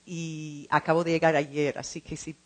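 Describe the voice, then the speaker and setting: Halting middle-aged woman, non-native speaker. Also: low-pitched, little pitch movement. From lives in California. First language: not Spanish, speaks it as a second language. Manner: halting, searching for words, repeats words. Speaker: translator and program co-director. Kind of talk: informal public talk.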